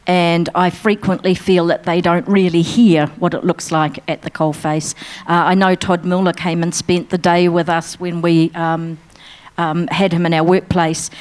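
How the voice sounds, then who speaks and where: A woman on a conference panel, speaking earnestly and passionately about her work with many pauses and restarts, her voice a little worn. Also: lowish voice. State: losing her voice. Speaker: financial mentor panelist. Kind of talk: panel discussion answer. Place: conference room.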